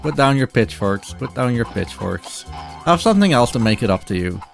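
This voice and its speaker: Creaky-voiced man commentating on a game. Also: medium pitch, sing-song. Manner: halting, repeats phrases. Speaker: speedrunner. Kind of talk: casual live game commentary. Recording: game music behind the voice.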